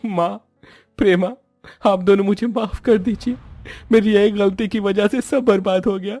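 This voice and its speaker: A man's voice: sits high, steady tone, unhurried, a little mellow, no room sound, soft background music. A man voicing a caring, anxious young husband and father in a cartoon, earnest and emotive in manner. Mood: remorseful.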